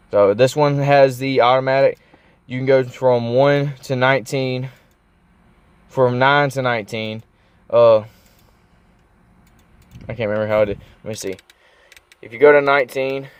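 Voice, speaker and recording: A man giving a casual, unscripted show-and-tell vlog, informal and thinking out loud as he goes. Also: mid-range voice, clean tone, dry recording. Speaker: car vlogger.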